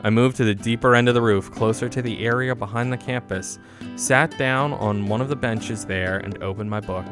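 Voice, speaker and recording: A man's voice: mid-range voice, moderate pace, loud music behind the voice. A man streaming a game, reading its story aloud in a casual but theatrical storytelling manner. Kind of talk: casual read-aloud narration of a visual novel.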